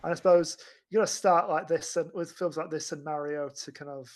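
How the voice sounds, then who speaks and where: A man chatting casually as a podcast panelist, halting and stammering as he repeats words to find his phrasing. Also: a little high, steady tone. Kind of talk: casual film discussion chat.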